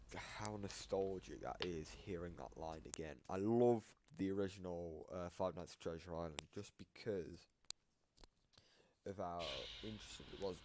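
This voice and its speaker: A man's voice: low-pitched, background noise. A man giving casual commentary on a horror fan game as a co-host. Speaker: reaction video co-host.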